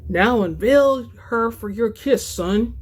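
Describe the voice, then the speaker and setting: Lady doing a solemn wedding pastor guy, measured and ceremonial, storybook narration style. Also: on the high side, animated, husky, full character voice. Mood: instructing. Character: deep-voiced male pastor, solemn and instructing.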